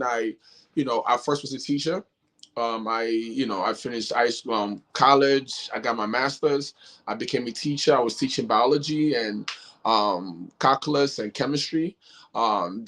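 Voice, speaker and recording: A man's voice: mid-range voice, fairly bright tone. A middle-aged man from Nigeria, a company head answering interview questions in an earnest, explanatory way with many fillers and restarts. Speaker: company CEO, interview guest. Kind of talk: casual interview talk.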